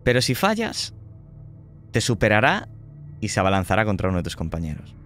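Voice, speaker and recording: A man running a tabletop horror game as game master, laying out options in a measured way and checking in often. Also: lowish voice, huge pitch swings, fairly bright tone, soft background music.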